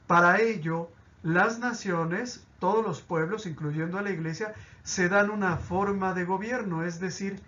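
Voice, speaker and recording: A man's voice: high voice, a little slow, pushed and bright, dull audio. A man teaching a pastoral catechism lesson, explaining with examples and often checking in with "¿sí?".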